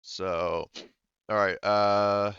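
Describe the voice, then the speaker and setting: A man runs a tabletop roleplaying game in a smooth, clean voice. He narrates and rules casually, joking and swearing freely. The sound is close and dry but somewhat muffled. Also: fairly low pitch, level tone, very slow, fairly bright tone, no room sound. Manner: profane and jokey. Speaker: game master.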